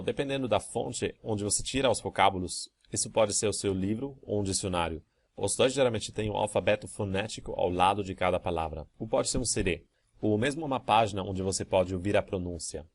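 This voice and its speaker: Low-voiced man teaching a language course. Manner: calm, methodical explaining. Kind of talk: answering student emails in a course video.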